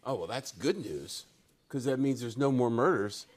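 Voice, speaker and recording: A man's voice: mid-range voice, lively intonation, normal speed. A man with a dry, joking manner, bantering and reading aloud as a guest on a studio talk show. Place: television studio stage.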